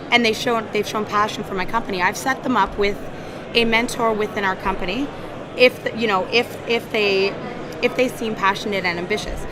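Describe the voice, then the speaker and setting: A woman in HR giving an earnest conference talk, with a scratchy voice, often repeating her words. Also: mid-range voice. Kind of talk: conference presentation. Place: conference hall.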